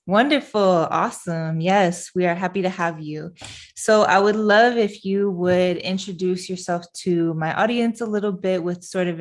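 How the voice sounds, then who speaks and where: A young woman hosting a podcast from her living room. She opens the show and interviews her guest with upbeat enthusiasm. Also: on the low side. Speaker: podcast host.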